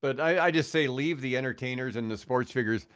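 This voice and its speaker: Male podcast guest, mid-pitched, casual and opinionated, emphatic, halting delivery. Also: a bit bright, smooth.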